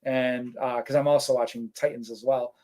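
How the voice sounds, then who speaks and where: Male co-host, mid-pitched, easygoing, reads chat aloud, filler-heavy. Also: moderate pace. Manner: relaxed, rambling, many fillers. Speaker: co-host reading live chat. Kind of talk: casual live-stream chat.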